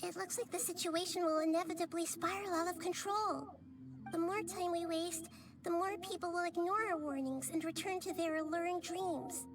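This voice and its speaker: A woman voicing a small girl goddess in a game, speaking in a calm, thoughtful, earnest way. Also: very high-pitched, moderate pace. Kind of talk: game voice acting. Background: game music.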